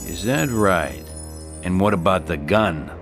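Cocky gangster, radio drama, sardonic, menacing, very animated pitch, music behind. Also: male voice, measured pace. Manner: sardonic, tough-guy slang. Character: homeless man possessed by a menacing, cocky dead gangster.

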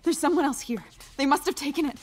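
An actress playing a brisk, guarded young gallery official in a video game, formal in manner. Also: female voice, high-pitched, darkish tone, a little hiss or hum, natural voice. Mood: frightened pleading.

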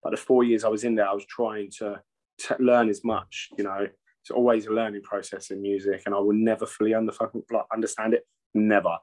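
A man's voice: on the low side, level tone, fairly quick, a little mellow. A man, a metal singer talking casually over a video call from home, rambling with frequent swearing and restarts. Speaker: metal band singer and bassist, guest. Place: home room with shelves. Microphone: video call webcam.

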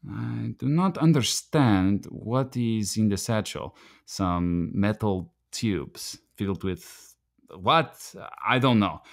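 An actor playing a proud, desperate immigrant night watchman in a radio play, pleading earnestly and haltingly. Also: lowish voice, animated, gravelly, exaggerated voice. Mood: hushed, earnest.

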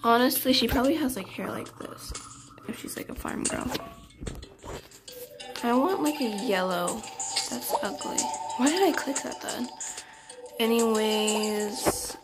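Young girl, casual rambling, talks to viewers, fairly high voice, game music behind. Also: slow, pauses often. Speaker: channel creator. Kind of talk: casual gameplay chat.

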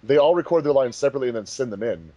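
Guy on a commentary track, quick talker, soft dark tone, keen to explain stuff. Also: medium pitch, expressive, no echo, dull audio. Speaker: fandub producer and voice actor. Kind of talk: casual commentary track talk.

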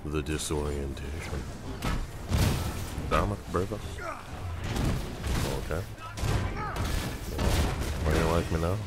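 Male gamer commentator, extremely low voice, sardonic and sweary, loud game music underneath. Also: slow pace, long pauses. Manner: sardonic, muttering complaints, profane. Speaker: gameplay commentator. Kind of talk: casual gaming commentary. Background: video game music.

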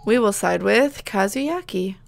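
A woman's voice: mid-range voice, lively intonation, measured pace, creaky. Female gaming co-host, casual conversational delivery, faint music underneath. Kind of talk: casual gameplay chat.

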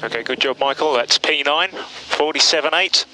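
A man's voice: fairly high pitch, moderate pace. A man working as a race engineer, giving terse instructions over team radio. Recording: noisy Formula One pit-to-car team radio. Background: loud engine and pit lane noise.

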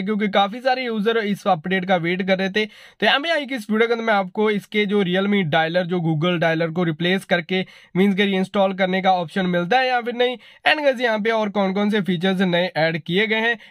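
A man's voice: high-pitched, fairly bright tone, no room sound, studio quality. A man casually narrating a phone update review, repeatedly addressing viewers in a repetitive, familiar way. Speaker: tech reviewer, video host.

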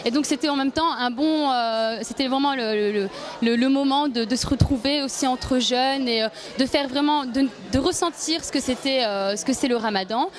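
A young woman with a high voice speaks into a handheld mic at an indoor gathering. She talks in halting bursts, stopping often to restart her sentences. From Algeria, M'sila province. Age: young adult. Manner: hesitant, many fillers and restarts. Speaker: youth association member. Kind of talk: on-camera interview at an event. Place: indoor event hall.

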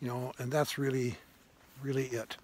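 A man in his seventies giving a thoughtful, halting informal sermon to camera as he walks outdoors, repeating phrases for emphasis. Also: medium pitch, level tone, slight background noise. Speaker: vlogger, retired parish priest. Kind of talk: reflective informal sermon to camera while walking. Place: outdoors on a grassy woodland trail. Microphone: handheld phone at arm's length.

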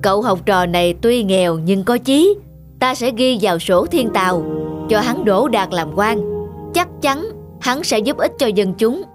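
A woman's voice: mid-range voice, expressive, a little slow, close to an everyday voice. Woman playing a stately heavenly god. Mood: solemn, approving. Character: solemn, benevolent male heavenly deity. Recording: music behind the voice.

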